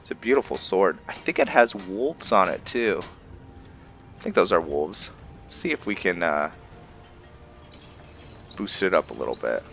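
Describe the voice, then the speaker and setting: Very deep, bright-voiced male gaming host, casual explanatory commentary over music. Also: expressive, unhurried, gravelly, long pauses. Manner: musing, explanatory. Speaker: host of a gaming roleplay series. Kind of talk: casual let's play commentary.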